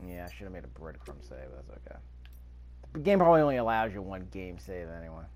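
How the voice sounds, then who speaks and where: A man's voice: deep voice, very animated, quick pace, darkish tone. A man giving casual gameplay commentary, thinking aloud with mild grumbling and rhetorical questions. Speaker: video game player narrating a playthrough.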